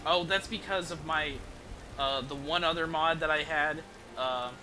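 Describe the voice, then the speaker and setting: A man's voice: fairly high pitch, unhurried, noisy background. A man casually commentating on his video game as a streamer, rambling and thinking aloud with offhand swearing.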